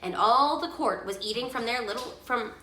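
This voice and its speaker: A woman reading a storybook aloud to a child in an expressive storytelling style, in an echoey room. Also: a little high, normal speed, slight background noise.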